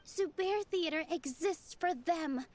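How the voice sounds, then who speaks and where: Very high-voiced, earnest young dancer girl. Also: slow, a little mellow. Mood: heartfelt, earnest. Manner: earnest, heartfelt. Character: earnest, gentle young woman dancer defending her theater. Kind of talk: anime video game voice acting.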